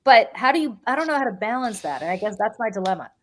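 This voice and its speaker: A middle-aged woman hosting a podcast in casual political chat, blunt and animated, often repeating words for emphasis. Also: a little high, fast talker. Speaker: podcast host.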